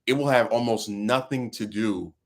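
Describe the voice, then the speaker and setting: A man's voice: medium pitch, moderate pace. A man hosting a casual talk stream, venting about politics in a rambling way, full of fillers and restarts. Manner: frustrated, venting. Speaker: livestream host.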